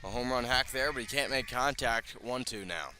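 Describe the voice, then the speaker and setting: A man's voice: medium pitch, moderate pace, sharp tone, a little hiss or hum. A man calling a baseball game live as a radio play-by-play announcer, speaking from field level at an outdoor ballpark.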